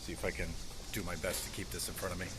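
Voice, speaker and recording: An American man with a fairly low voice talks straight to his viewers in a casual, rambling way. He is live streaming on foot, holding his phone out at arm's length. The outdoor recording has clearly audible ambient noise. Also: little pitch movement, rapid-fire. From United States. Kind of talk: casual walking livestream chat. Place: outdoors, walking along streets to a park. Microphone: phone held at arm's length. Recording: noisy outdoor phone livestream, insect-like ambience.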